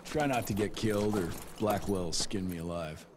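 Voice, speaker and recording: A man's voice: fairly low pitch, normal speed, noisy background, natural voice. A man playing a tough gunslinger field agent in a video game, blunt, sardonic and impatient. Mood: brisk, commanding.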